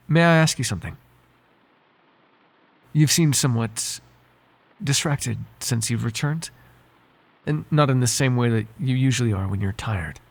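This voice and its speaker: Male actor as devoted, courtly butler for ASMR roleplay; soft-spoken, husky, slightly gravelly, politely flirtatious, prone to hesitation. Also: mid-range voice. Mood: gentle concern. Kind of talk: ASMR roleplay voice acting, intimate one-sided dialogue.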